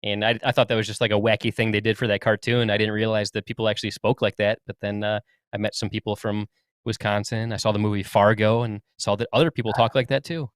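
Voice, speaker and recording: A man co-hosting a podcast in casual chat, chatty and quick to laugh. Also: on the low side, little pitch movement, clean tone, no room sound, clean studio sound. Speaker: podcast co-host.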